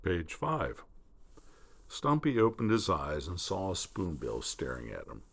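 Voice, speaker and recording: A man giving an expressive, dramatic reading of a children's story in a deliberate way. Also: deep voice, creaky, husky. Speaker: storybook reader.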